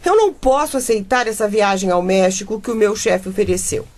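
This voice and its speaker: A Brazilian woman playing an earnest, exasperated mother and reporter in a comic radio drama, expressive, reproachful and emphatic. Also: medium pitch, brisk, natural voice. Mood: resolved.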